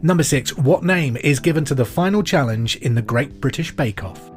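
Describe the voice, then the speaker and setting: Guy hosting a trivia podcast, really up and down delivery, clear deliberate reading, bit of guitar music behind. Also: medium pitch, animated. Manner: repeats questions clearly and deliberately. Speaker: podcast quiz host. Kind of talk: pub quiz question reading, scripted presenting.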